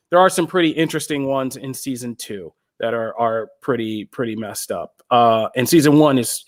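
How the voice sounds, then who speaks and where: An American man from Pennsylvania, talking casually and in a rambling way as a podcast host giving pop culture recommendations. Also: medium pitch. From Pennsylvania, United States.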